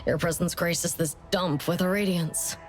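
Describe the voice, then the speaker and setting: A woman plays a mocking game rival with a theatrical streak. She drawls her florid taunts slowly in a lowish register, teasing with every phrase. Music plays clearly behind her. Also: steady tone, lightly acted. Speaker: video game character voice. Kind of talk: scripted game dialogue.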